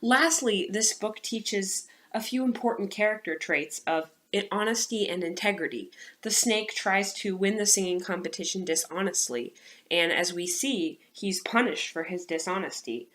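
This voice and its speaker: A woman with a medium-pitched voice speaks as a music teacher. She presents and reads aloud for children, with clear intent to instruct and an expressive, deliberate delivery. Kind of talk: instructional presentation, then storybook read-aloud.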